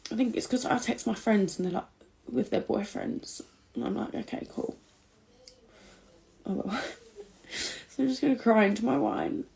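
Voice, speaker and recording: A woman's voice: on the high side, moderate pace, gravelly, pauses often, a little mellow. A woman crying while talking in a rambling way in a casual confessional video from home, swearing often. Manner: tearful, swears a lot, rambling. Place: indoors at home.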